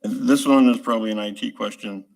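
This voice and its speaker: A man's voice: on the low side, steady tone, fast talker, vocal fry, rough recording. A man on a city council passing along residents' questions at a meeting. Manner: relays residents' questions plainly. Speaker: council member. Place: council chamber.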